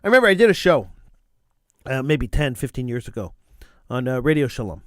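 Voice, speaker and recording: A man's voice: mid-range voice, sing-song, a little fast, fairly bright tone, no echo. Man, middle-aged, talk radio host, slight cold, emphatic repetition, dry close studio sound. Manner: emphatic, repeats phrases for stress. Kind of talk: impassioned talk radio monologue.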